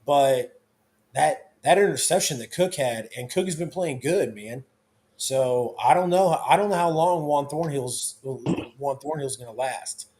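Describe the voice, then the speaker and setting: Hoarse young male host, casual football banter, restarts often, mid-range pitch. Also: measured pace, darkish tone. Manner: loose, conversational, with many restarts. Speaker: sports talk show host. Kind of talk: casual sports talk.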